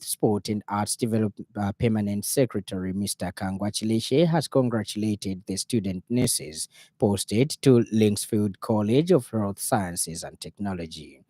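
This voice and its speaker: Low, mellow Zambian newsreader man. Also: unhurried, dry recording, clean studio sound. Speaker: radio news reader. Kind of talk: scripted news bulletin reading.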